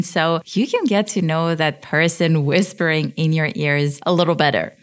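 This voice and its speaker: A woman in her thirties from Switzerland, hosting a podcast and telling her own story in a candid, conversational way that pokes fun at herself. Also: on the low side. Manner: conversational, candid, self-deprecating. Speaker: podcast host. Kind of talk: personal storytelling monologue.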